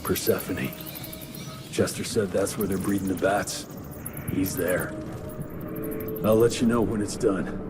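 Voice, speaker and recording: A man's voice: low voice, steady tone, a little slow, pauses often, over music. An actor playing a grim, determined male monster hunter in a video game, terse and stoic in his delivery. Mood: resigned, resolute. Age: adult. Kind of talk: dramatic game cutscene acting.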